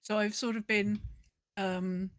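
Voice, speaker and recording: Female podcast host, mid-pitched, rambling conversational delivery, dry close sound. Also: flat delivery, slow pace, no room sound. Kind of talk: casual gaming podcast chat.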